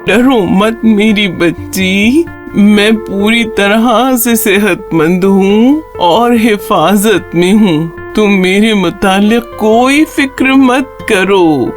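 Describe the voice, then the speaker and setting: A woman's voice: mid-range voice, few pauses, faint music behind, close to an everyday voice. A woman voicing a kindly, tender elderly woman in a children's cartoon story, speaking in an affectionate, soothing manner. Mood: reassuring.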